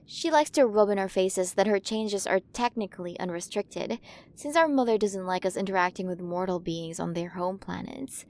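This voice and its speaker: A woman's voice: medium pitch, moderate pace, darkish tone, lightly acted. A woman voicing a playful, curious alien queen of a galaxy in an ASMR roleplay, speaking to the listener in a fascinated, coaxing way. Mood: chatty, confiding.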